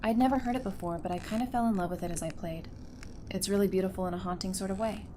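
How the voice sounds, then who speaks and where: A woman's voice: mid-range voice, fast, warm tone, slight background noise, natural voice. Woman acting a playful, teasing young survivor. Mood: warm, eager. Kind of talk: fan audio drama acting.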